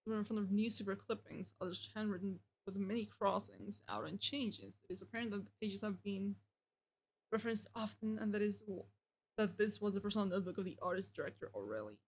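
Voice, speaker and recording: A woman's voice: mid-range voice, brisk, darkish tone, slight background noise, poor audio. A woman streaming a game, reading its text aloud and reacting casually.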